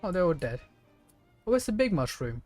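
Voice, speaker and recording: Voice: mid-range voice, very animated, fairly quick, a little mellow. Chatty game streamer, music behind. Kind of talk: casual live game commentary.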